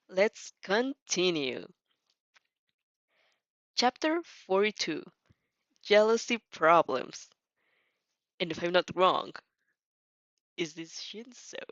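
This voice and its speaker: A woman's voice: fairly low pitch, a bit bright, dry recording. A young woman who speaks English as a second language, chatting casually and hesitantly as she hosts a stream, often correcting herself. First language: not English. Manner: hesitant, giggly, self-correcting. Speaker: host reacting to fan fiction. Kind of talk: casual, rambling stream chat. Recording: dull lo-fi mic, faint music behind.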